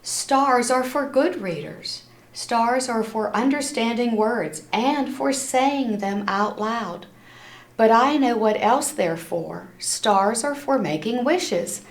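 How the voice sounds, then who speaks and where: A woman's voice: fairly high pitch, slow pace. A woman reading a children's storybook aloud expressively, with simple storytelling and little asides to listeners. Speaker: storybook reader. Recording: echoey room.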